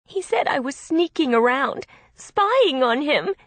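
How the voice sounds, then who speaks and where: A naive young bride-to-be, acted by a woman narrator, speaks high and tearfully. She pleads and defends herself with stubborn emotion, in a close, dry storybook recording. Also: big pitch swings, slow, fairly bright tone, no room sound, exaggerated voice. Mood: panicked, defensive.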